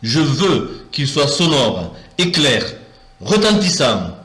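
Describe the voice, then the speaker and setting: A man recites verse in a declamatory, expressive manner, taking his time with each line. His voice sits at a medium pitch, and the recording carries a noticeable room echo. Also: slow. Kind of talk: poetry recitation. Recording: roomy echo.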